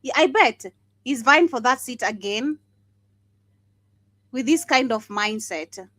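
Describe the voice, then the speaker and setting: Scornful high-voiced woman host. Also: expressive, takes it slowly, fairly bright tone, no echo. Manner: scornful, laughing, emphatic. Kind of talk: casual commentary.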